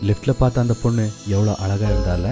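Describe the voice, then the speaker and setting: A man's voice: on the low side, fast, close to an everyday voice. A man voicing a teasing, easygoing young husband in a cartoon. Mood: tired. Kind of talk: Tamil cartoon dub. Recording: music bed behind.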